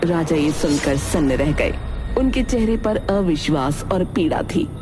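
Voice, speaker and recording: A woman's voice: sits low, animated, unhurried, noisy background. A woman narrating a scripted dramatic folk tale as a storyteller. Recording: music under the narration.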